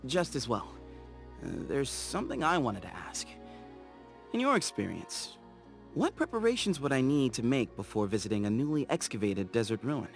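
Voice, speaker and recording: An actor voicing an easygoing, playful young explorer in a game, chatty and teasing, laughing easily. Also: male voice, medium pitch, sing-song, normal speed, gravelly, soft background music. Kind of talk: game character voice lines.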